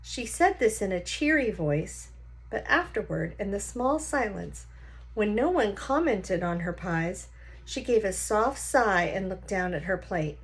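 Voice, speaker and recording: A woman's voice: medium pitch, expressive, measured pace. A woman reading a children's novel aloud like a teacher, with short explanatory asides.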